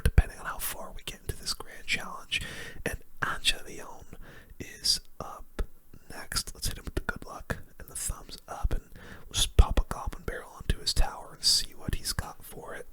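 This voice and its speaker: A gaming host giving hushed, casual ASMR commentary in a whisper, using relaxed gamer slang.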